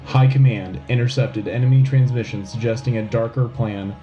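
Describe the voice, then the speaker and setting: A man playing a solemn, patriotic wartime radio news anchor in a scripted dramatic newscast, with a grave, rousing, measured delivery. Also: mid-range voice, steady tone, dark tone, lo-fi sound, faint music behind, close to an everyday voice. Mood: grave, urgent. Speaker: radio host.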